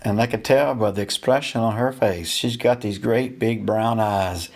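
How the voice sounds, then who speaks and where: A middle-aged American man with a folksy, animated manner tells a personal anecdote to listeners. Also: lowish voice, moderate pace. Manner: folksy, animated, acts out dialogue. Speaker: storyteller. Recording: music behind the voice.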